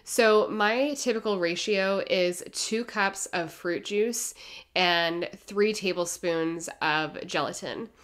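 Calm low-voiced woman explaining recipes. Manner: gentle, measured, explains step by step. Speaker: home cooking vlogger. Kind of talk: calm instructional voice-over.

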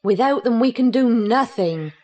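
An actress playing a level-headed woman, companion to an art dealer, explaining things in a measured way in an audio play. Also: fairly high pitch, animated, darkish tone. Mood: concerned.

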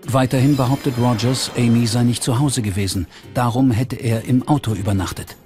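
A man's voice: mid-range voice, steady tone, fairly quick, music in the background. A man reading scripted true-crime documentary narration as the narrator.

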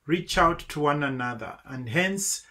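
A middle-aged man from Zambia giving earnest, measured closing remarks on a webinar video call from a home office. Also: on the high side, lively intonation, bright, forceful tone. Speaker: webinar speaker.

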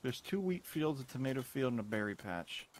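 A man's voice: mid-range voice, animated, fairly quick. A man chatting casually with his partner during co-op gameplay. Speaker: co-op gaming partner.

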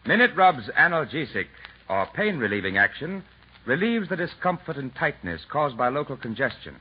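A man reading a commercial on a 1941 radio broadcast in an emphatic sales-pitch delivery. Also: very animated, normal speed, a little hiss or hum. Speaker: commercial announcer. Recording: dull lo-fi 1940s radio broadcast.

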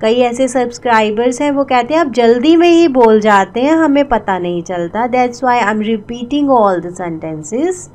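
Slow, repeating Indian teacher woman. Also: fairly high pitch, animated, a little mellow. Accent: Indian English. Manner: repeats each English sentence slowly twice. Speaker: English teacher. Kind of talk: slow bilingual language lesson.

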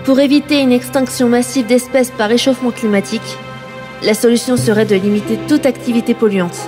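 A woman delivering scripted comedic narration for a radio serial in a deadpan manner. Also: on the high side, fairly quick, over music.

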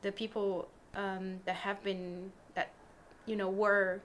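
A woman's voice: mid-range voice, level tone, slow pace, fairly bright tone, a little hiss or hum. A woman chatting casually as a podcast guest, with hesitant, loose phrasing. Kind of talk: casual interview chat.

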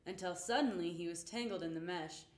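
A young woman reading a children's picture book aloud in an expressive storytelling way. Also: lowish voice, a little fast. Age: young adult. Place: indoor room with painted rainbow backdrop. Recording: roomy, echoing indoor sound.

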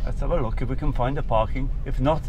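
An English man speaks at a middling pitch with a slightly croaky voice. He rambles along casually and jokily as a driving vlogger, with clear road and vehicle noise around him. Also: fast. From England. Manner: chatty, rambling, jokey. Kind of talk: casual running commentary while driving. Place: outdoors on a moving vehicle.

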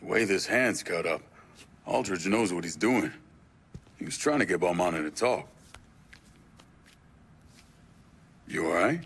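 Deep, blunt American tough guy. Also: expressive, normal speed, a bit bright, slightly rough, exaggerated voice. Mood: skeptical. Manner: blunt, skeptical, profane. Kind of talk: video game cutscene acting.

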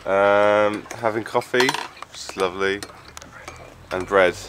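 A young man in a band giving relaxed, wry tour updates to a handheld camera. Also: fairly low pitch, slow, background noise. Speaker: band member. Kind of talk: casual video diary. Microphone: wide-angle handheld camera. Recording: music playing over the voice.

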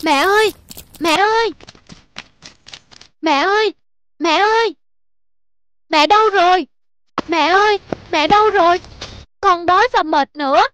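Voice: very high voice, measured pace, pauses often, sharp tone, full character voice. A performer voicing a naughty, sulky little village boy in a cartoon, plaintive and exclaiming in a childlike way. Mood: distressed, calling.

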